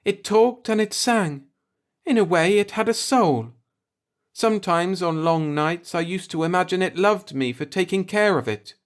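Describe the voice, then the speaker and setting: A man's voice: high voice, animated, measured pace, pitched above the performer's own voice. A man voicing a proud, embittered old alien man who speaks with formal solemnity in a science fiction audiobook. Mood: grieving, anguished. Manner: formal, solemn, grieving speech.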